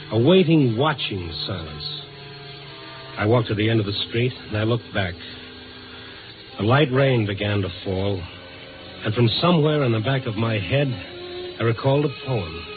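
An actor playing a tough, sardonic newspaper reporter, speaking tersely and wryly in a 1950s radio drama. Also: male voice, mid-range voice, natural voice. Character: tough, sardonic newspaper reporter narrating his night investigations. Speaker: lead actor and narrator. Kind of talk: 1950s radio drama acting, noir narration. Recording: tinny 1950s radio recording, music behind.

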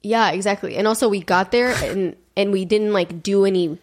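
A woman's voice: mid-range voice, moderate pace. A young woman co-hosting a podcast from her apartment, talking casually with lots of fillers, slightly nasal from a cold. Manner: chatty, rambling, lots of fillers. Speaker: podcast co-host. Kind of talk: casual chat. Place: apartment, seated at a table.

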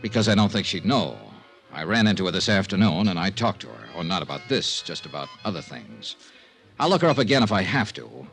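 An American actor playing a blunt, polite freelance insurance investigator in 1950s radio drama, asking direct, measured questions. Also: male voice, low-pitched, very animated, gravelly, fairly bright tone, heavily acted. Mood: probing, firm. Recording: 1950s radio recording with music.

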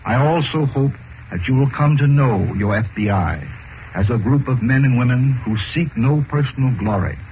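A man's voice: medium pitch, normal speed. An American man, an official, giving a formal prepared address on 1940s radio. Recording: dull, noisy 1940s radio recording.

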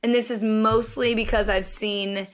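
A woman with a raspy voice co-hosting a podcast, chatting casually and tossing in quick asides. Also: on the high side, level tone. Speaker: podcast co-host. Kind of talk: casual sports chat.

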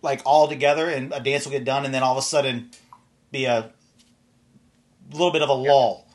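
A man's voice: medium pitch, steady tone, a little fast. A man hosting a casual interview, asking loose, rambling questions. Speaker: podcast host.

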